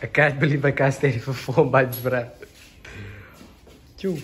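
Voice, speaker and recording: A man's voice: medium pitch, steady tone, measured pace. A young South African man vlogging casually in his home garage, laughing a lot and tossing in slang. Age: young adult. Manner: laughing often, slangy, says bruh a lot. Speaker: car vlogger.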